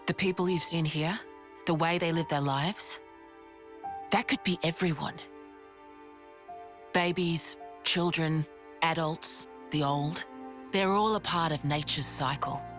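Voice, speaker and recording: An actress playing a frank, steady female resistance leader in a video game, with plain-spoken, measured storytelling. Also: low voice. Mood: earnest. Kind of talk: video game cutscene acting. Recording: music behind the voice.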